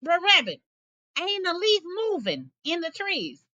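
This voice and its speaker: Big gullible male lion as a woman does him, high, bright, plaintive, folksy dialect, storybook tale. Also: huge pitch swings, measured pace, dry recording, exaggerated voice. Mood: impatient, plaintive.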